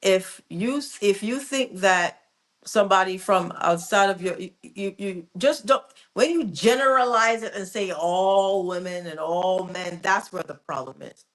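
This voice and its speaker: A woman's voice: mid-range voice, unhurried, a bit bright. Emphatic Jamaican-born woman co-host. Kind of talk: casual radio talk.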